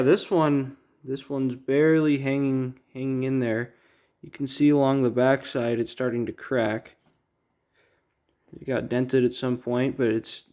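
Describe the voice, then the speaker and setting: A man with a soft-edged, relaxed voice talks casually through items as he handles them. He speaks at a middling pitch and often halts to think aloud, like a hobbyist collector hosting his own video. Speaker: record collector, video host. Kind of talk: casual unboxing commentary.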